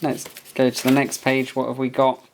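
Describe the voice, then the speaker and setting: A man's voice: medium pitch, completely flat delivery, moderate pace, a little hiss or hum, rough recording. A man giving a casual product review, musing aloud as he inspects things piece by piece. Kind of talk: casual unboxing and review commentary.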